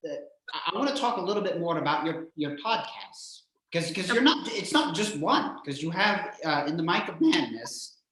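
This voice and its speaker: A man hosting a casual interview in a hesitant, halting way, often repeating his words, in a very echoey recording. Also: on the high side, very animated, sharp tone, a little hiss or hum. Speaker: show host, interviewer. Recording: very echoey, reverberant room sound.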